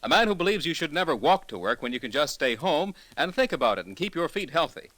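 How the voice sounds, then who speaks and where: A man's voice: on the high side, big pitch swings, quick pace, a bit bright, dry recording, poor audio. A man announcing a scripted old-time radio promo in a wry, polished manner. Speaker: network announcer. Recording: old dry radio recording with music.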